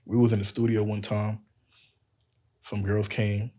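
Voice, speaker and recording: A man with a deep voice and a lisp, telling loose stories on a casual podcast and acting out quoted lines. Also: little pitch movement, unhurried, mellow. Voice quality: says he has a lisp. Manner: loose storytelling, acts out quotes, laughs often. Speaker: podcast cohost.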